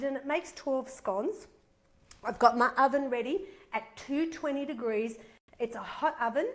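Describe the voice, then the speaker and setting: A middle-aged woman hosting a live cooking demonstration from her kitchen, chatting bubbly and enthusiastic, a bit nasal from a head cold. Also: a little high. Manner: bubbly and enthusiastic, reading out viewer comments. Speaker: cooking show host. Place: home kitchen.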